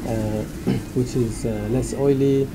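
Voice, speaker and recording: A man in his thirties from Mauritius, answering interview questions in a small restaurant, hesitant with many pauses and throat clears. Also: medium pitch, steady tone, noisy background. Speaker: restaurant owner. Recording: background music.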